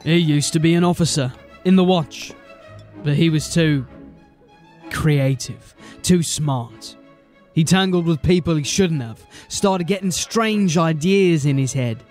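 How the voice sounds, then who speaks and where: A man's voice: a little high, unhurried, a little mellow, faint music behind, close to an everyday voice. A man playing a blunt, wry city watch officer in a fantasy audio drama. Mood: grave, uneasy.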